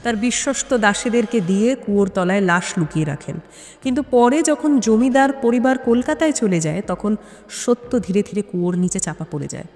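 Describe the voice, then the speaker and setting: A woman playing a calm, firm female detective in an audio story, speaking in a measured way. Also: medium pitch, lightly acted. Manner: firm, measured, soft-spoken at times. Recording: long hall reverb.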